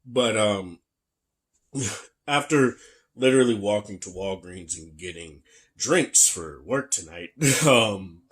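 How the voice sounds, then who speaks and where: A young man with a very low, bassy voice talks through a gadget review in an easygoing way. He is chatty and relaxed, with plenty of fillers and restarts. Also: slow, slightly rough. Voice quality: deep, bassy voice. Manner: laid-back, chatty, many fillers and restarts. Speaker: tech reviewer. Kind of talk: casual product review.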